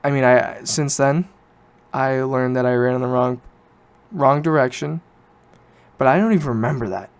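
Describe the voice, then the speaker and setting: A young man in his late teens talks as if hosting his own podcast. His voice is clean and smooth, sitting at an average male pitch. He tells things in a loose, informal way, doubling back to correct himself as he goes. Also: normal speed, no echo. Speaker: podcast host. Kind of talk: casual solo podcast chat, rambling storytelling.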